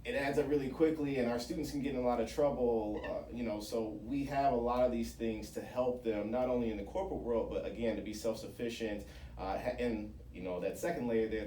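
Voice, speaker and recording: Male interview guest, conversational founder type; dark, soft-edged tone, roomy sound with faint noise. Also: on the low side, fairly even intonation, brisk, recorded from a distance. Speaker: interview guest, founder of a job-training organisation. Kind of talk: radio interview, explaining programmes.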